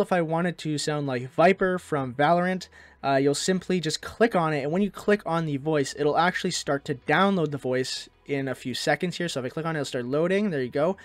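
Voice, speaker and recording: A man hosting a software tutorial, narrating in a casual, conversational way. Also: a little high, dry recording. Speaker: tutorial host.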